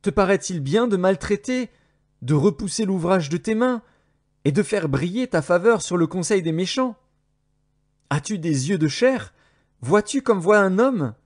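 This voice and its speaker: Higher-pitched man reading scripture. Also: lively intonation, measured pace. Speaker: audio Bible narrator.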